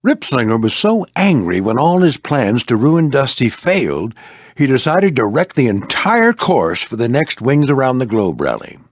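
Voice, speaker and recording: An older man voicing a veteran warplane skipper in a video game, a gruff, fatherly flight instructor with folksy, military phrasing. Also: medium pitch, sing-song, normal speed, no echo, studio quality. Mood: storytelling. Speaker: squadron skipper and mentor. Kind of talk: animated video game character voice lines.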